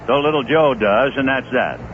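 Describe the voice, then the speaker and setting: A man doing radio play-by-play of a baseball game, easygoing and folksy, with chatty asides between pitches. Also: normal speed. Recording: tinny old AM radio broadcast with faint hiss.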